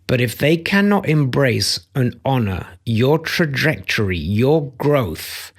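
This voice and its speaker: A man's voice: mid-range voice, very animated, slow, husky. A middle-aged man coaching on self-acceptance, talking into a close studio mic in a home office, earnest and emphatic, repeating key phrases. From Democratic Republic of Congo. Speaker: empathy coach and author. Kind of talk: motivational talk to camera. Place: home office with bookshelves, indoors. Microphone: studio mic on boom arm, close.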